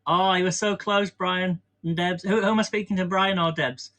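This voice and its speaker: English man, live stream host, slightly high voice, chatty, self-mocking, laughs a lot, home kitchen. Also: steady tone, normal speed, bright, forceful tone. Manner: chatty, rambling, self-deprecating, laughs often. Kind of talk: casual live-stream chat while baking. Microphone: camera on a stand.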